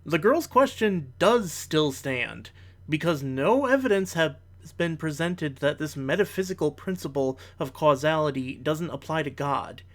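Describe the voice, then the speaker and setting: A man's voice: on the high side, expressive, measured pace. A man delivering scripted rebuttal commentary in formal wording, with an analytical and bluntly critical manner. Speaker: commentator, video host.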